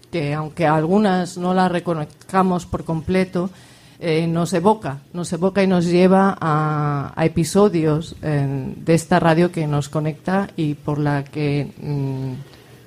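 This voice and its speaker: Halting, low-pitched woman presenting. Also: fairly even intonation, darkish tone. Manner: halting, searching for words. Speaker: presenter at a book launch. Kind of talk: informal public speech, introducing a book.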